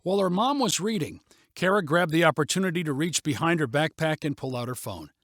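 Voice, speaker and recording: A man reads a story as scripted audiobook narration. His voice is fairly high and very animated in pitch. The recording is close and dry. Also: fairly quick, dry recording.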